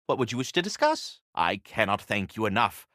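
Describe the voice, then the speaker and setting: An actor playing a polite, fussy talking squirrel in a video game, with courtly, formal and theatrical phrasing. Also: male voice, lowish voice, big pitch swings, brisk, fairly bright tone, no echo, studio quality. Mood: courteous, grateful. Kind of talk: video game character dialogue.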